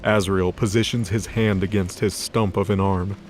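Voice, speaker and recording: A man's voice: sits low, fairly even intonation, normal speed, a little mellow, a little hiss or hum. A man narrating a scripted dramatic fantasy story as its storyteller. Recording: music behind the voice. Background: music.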